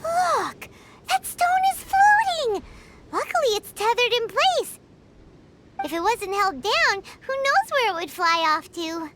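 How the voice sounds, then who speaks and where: A woman voicing a small, childlike, excitable floating mascot in a video game, squeaky and wide-eyed. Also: a little slow, full character voice. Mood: curious, excited. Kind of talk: video game character acting. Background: music.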